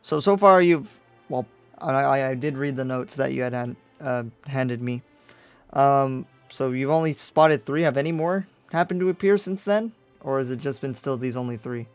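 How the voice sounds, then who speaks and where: A man playing a curious, easygoing demon slayer in an improvised anime roleplay, with a halting, attentive way of talking. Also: mid-range voice, smooth, natural voice. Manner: halting, attentive, murmuring acknowledgements. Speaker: roleplay player. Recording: background music under the voice.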